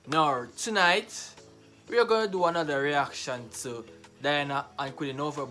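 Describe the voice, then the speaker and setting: A man's voice: a little high, sharp tone. A man with a Jamaican accent hosting a casual reaction video, emphatic and exclamatory, often repeating his phrases.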